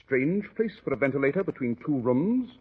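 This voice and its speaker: An actor playing a shrewd, unruffled gentleman detective, precise and dryly witty, in 1940s radio drama style. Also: mid-range voice, big pitch swings, a little fast, breathy edge, phone-quality sound. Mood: keen, inquisitive. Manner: urbane, precise, dryly ironic. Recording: thin, band-limited 1940s radio recording.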